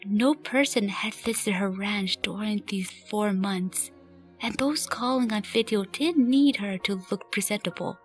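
A woman's voice: mid-range voice, measured pace. A woman reading scripted story narration as the narrator of a fan radio play. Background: music.

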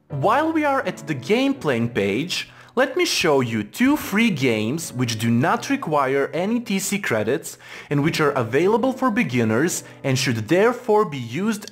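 Man narrating a tutorial with lively intonation. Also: fairly high pitch. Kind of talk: scripted instructional narration. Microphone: voice-over for screen recording.

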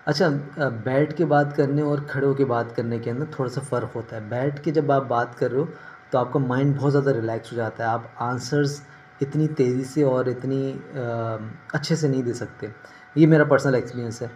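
A middle-aged man giving informal advice to camera, explaining in a measured way with pauses, recorded indoors on a phone. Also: medium pitch, steady tone, darkish tone, low-quality recording. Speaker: career advice vlogger. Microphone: phone at arm's length. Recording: noticeable ambient background noise.

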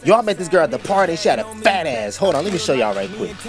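Brash sweary guy doing slangy funny dubs, highish voice, loud music under it. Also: big pitch swings, fast. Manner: brash, foul-mouthed, slangy. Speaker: comic voice-over dubber. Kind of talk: comic slangy voice-over dubbing. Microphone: phone app voice-over recording.

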